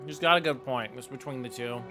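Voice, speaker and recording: A man's voice: medium pitch, fairly quick. A man doing casual gameplay commentary as a streamer, with wry, chatty asides. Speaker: game streamer. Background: game music.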